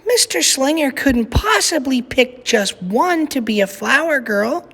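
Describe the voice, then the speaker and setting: A man voicing a patient mother gently explaining things to her daughter in a children's story. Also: very high-pitched, expressive, unhurried, breathy edge, voice pushed up, heavily acted. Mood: gentle.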